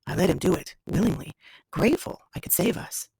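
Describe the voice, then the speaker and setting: A woman reads as a scarred young woman survivor in an audiobook-style story. Her voice is lowish with a gravelly fry, somber and measured as she recounts a grim past. The sound is dry and close. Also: expressive, dark tone, no echo, exaggerated voice. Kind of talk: first-person fiction read aloud.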